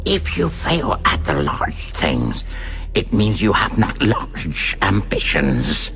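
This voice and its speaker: A man acting a sinister, grandiose megalomaniac villain in a radio drama, speaking formally and theatrically with deliberate menace. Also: sits low, wildly swooping pitch, noisy background, full character voice. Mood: icy courtesy. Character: sinister megalomaniac villain, cold, grandiose, self-declared maniac.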